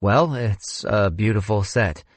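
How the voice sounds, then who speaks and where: A man's voice: lowish voice, takes it slowly, dry recording. A man voicing a reserved young man in an audiobook, polite, understated and tentative. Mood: curious. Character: reserved young American man, awkward amateur private detective.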